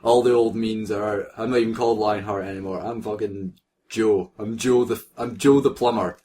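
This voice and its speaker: Man, lowish voice, mock-dramatic comic story reader given to asides, slight background noise. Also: fairly even intonation, normal speed, warm tone. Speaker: co-host reading fan fiction aloud. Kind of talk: comic read-aloud with joking asides.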